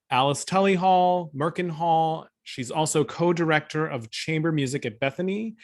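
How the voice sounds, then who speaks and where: A man in his thirties hosting an online interview, chatty and upbeat, speaking over a video call from a room at home. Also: on the high side. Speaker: host.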